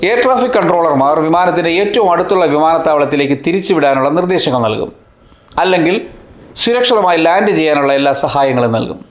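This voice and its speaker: A man presenting an educational explainer in deliberate, measured phrases. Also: fairly high pitch, expressive. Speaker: presenter of an educational video channel. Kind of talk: explanatory narration. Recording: roomy, echoing voice-over.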